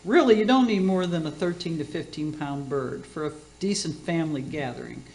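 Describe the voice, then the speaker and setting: A woman's voice: sits low, fairly quick, a little mellow. A woman playing a batty, boastful older aunt who hosts a radio cooking show in a comic radio play, with a folksy, theatrical, catty manner. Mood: advising. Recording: roomy echoing sound.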